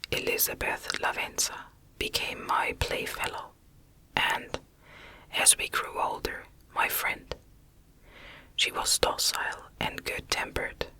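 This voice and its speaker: A woman reads a classic novel as ASMR in a breathy, unvoiced whisper, gentle and measured in her phrasing. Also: rough recording. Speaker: audiobook narrator.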